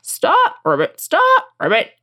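A woman's voice: high voice, wildly swooping pitch, slow pace, sharp tone, voice pushed up, heavily acted. A woman voicing a small male talking frog from a storybook, eager and insistent, who punctuates speech with ribbits. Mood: urgent pleading. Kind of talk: storybook character voice.

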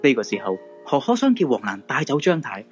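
A man's voice: mid-range voice, huge pitch swings, fairly quick, music in the background. A man with a Hong Kong Cantonese accent narrating a scripted supernatural radio drama.

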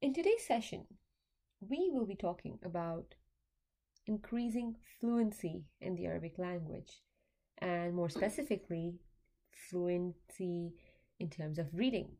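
A young woman, a graduate student, hosting an educational podcast, explaining in a measured way and speaking directly to parents. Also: lowish voice, animated, dark tone. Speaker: podcast host; graduate student in applied linguistics. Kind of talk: scripted educational podcast talk.

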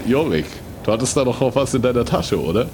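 A man's voice: medium pitch, sing-song, fast, background noise. A man improvising as an adventurer in a comic audio play, with playful, theatrical delivery.

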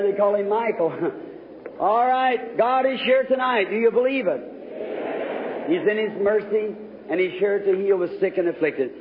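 A man preaching fervently to a revival congregation as an evangelist, exhorting his listeners. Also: high-pitched. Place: large reverberant meeting hall. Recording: tinny, noisy, echoing old hall recording.

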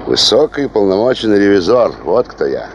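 An actor playing a high-ranking official in a radio play, wry and teasing, with a grandiose ironic manner. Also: male voice, big pitch swings, moderate pace, a little hiss or hum. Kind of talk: Soviet radio play acting.

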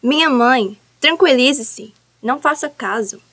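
A woman's voice: high voice, animated, a little slow, fairly bright tone, dry recording, heavily acted. A woman with a Northeastern Brazilian accent playing an anxious, pleading young daughter trying to keep peace in a comic radio soap.

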